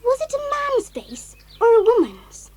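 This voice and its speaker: A woman's voice: very high voice, very animated, a little slow, a little mellow, exaggerated voice. A woman playing an inquisitive, assertive schoolchild in a children's audio adventure drama. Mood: curious.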